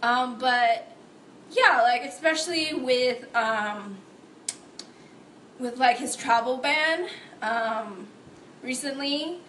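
A young woman from San Francisco talking with roommates on a podcast in a shared apartment. She speaks in a hesitant way, full of fillers, with a slightly high voice. The room adds a noticeable echo. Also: takes it slowly, long pauses, a bit bright, a little hiss or hum. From San Francisco, USA. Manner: hesitant, lots of "like" and "um". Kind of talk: casual chat.

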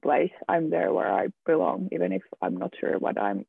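A man's voice: a little high, big pitch swings, moderate pace, creaky, breathy edge, no echo. A young man talking about himself in a casual round-table chat, hesitant, restarting and repeating words often. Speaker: student guest. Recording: tinny phone-like line, dry.

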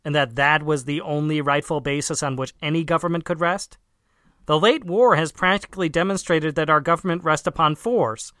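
A narrator reading a formal political essay aloud in a measured, emphatic way. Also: medium pitch, a bit bright, dry recording, clean studio sound. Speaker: audiobook reader.

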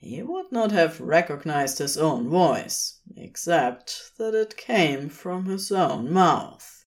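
A woman narrates scripted fiction at a slow, measured pace. Her voice sits a little low and moves with lively variation in pitch. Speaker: podfic narrator.

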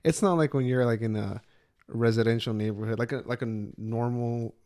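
A young man co-hosting a podcast in casual chat, with a slightly deep, soothing voice, often stumbling and repeating words. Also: fairly even intonation, a little fast, a little mellow. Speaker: podcast co-host.

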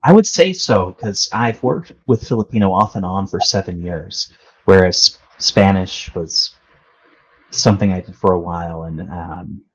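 A man's voice: low voice, a little slow, breathy edge. A man hosting a podcast interview, speaking casually and hesitantly with lots of fillers. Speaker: podcast host. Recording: dull lo-fi call audio.

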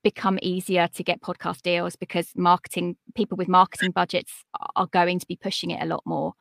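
Upbeat woman with a bright, high voice. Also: little pitch movement, brisk, gravelly, no echo, clean studio sound. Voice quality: high-pitched. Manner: confident, upbeat, motivational. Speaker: podcast and voice coach guest. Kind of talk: energetic interview talk.

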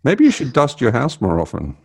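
Gravelly older man hosting. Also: mid-range voice, huge pitch swings, a little fast. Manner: rambling, hesitant with many fillers. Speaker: podcast host. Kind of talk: casual family chat.

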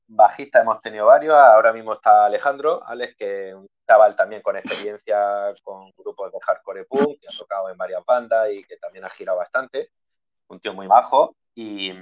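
Low-voiced Spanish man, dull recording. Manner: relaxed, swears freely, jokey. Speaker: band guitarist. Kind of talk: casual interview chat.

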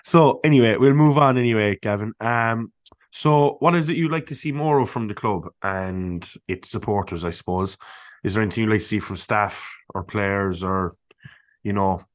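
An Irish man hosting a podcast, with a lowish voice heard in a dry, close recording. He asks long, relaxed questions that wander a little before they land. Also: normal speed, no room sound. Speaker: podcast host. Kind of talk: casual podcast interviewing.

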